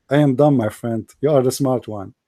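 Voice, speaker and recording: A man's voice: medium pitch, warm tone, no echo, studio quality. A man whose first language is Arabic, hosting a heated religious debate in a combative, mocking, insulting way. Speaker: debate host.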